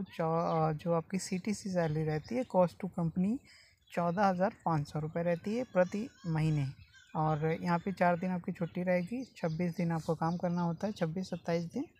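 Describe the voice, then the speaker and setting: A man hosting a job-vacancy channel, talking viewers through an opening in a steady, explanatory voice-over that keeps addressing them as friends. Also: sits high, slight background noise. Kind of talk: informal informational voice-over. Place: voice-over over a phone screen recording.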